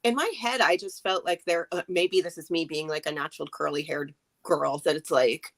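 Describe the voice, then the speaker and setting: A middle-aged woman from the United States hosting a casual interview, playful and quick to joke. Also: deep voice, fairly even intonation. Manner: playful, laughing, jokey. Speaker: podcast host.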